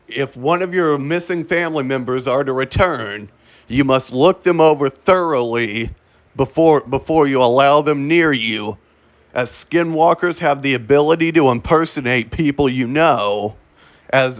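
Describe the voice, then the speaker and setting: A man's voice: mid-range voice, a bit bright, no echo. A man reading a scripted emergency warning as an announcer, stumbling over words and repeating himself. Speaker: emergency alert announcer in a hobby fictional broadcast.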